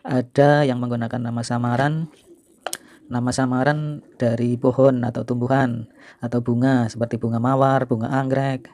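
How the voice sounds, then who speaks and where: A middle-aged man from Indonesia hosting a video, reminiscing to viewers in an informal, unhurried, explanatory way. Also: mid-range voice, level tone, a little mellow, dry recording. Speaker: video host and storyteller.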